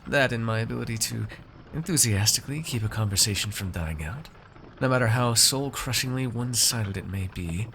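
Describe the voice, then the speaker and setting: A man playing a haughty, shrewd noble master in a fantasy ASMR roleplay, speaking in measured, formal, condescending phrases to a servant. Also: medium pitch, vocal fry, fairly bright tone, slight background noise. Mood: condescending, weary.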